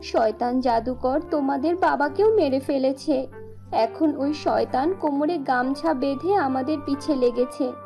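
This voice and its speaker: Lady doing a doting worried fairy mom, cartoon, high but mellow voice. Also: a little slow, soft background music. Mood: anxious, protective. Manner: tender, doting. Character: loving, anxious mother flower fairy, protective of daughters. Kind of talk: children's fairy-tale cartoon dialogue.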